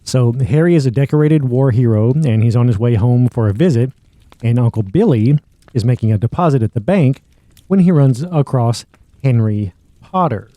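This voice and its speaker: A man's voice: medium pitch, lively intonation, normal speed, mellow, dry recording. A man hosting a podcast, casually recapping and commenting on a story. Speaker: podcast host.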